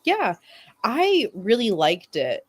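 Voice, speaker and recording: A woman's voice: on the high side, huge pitch swings, slow pace, breathy edge. A woman giving casual, rambling opinions as a panelist, in an informal way full of filler words. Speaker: fan panelist.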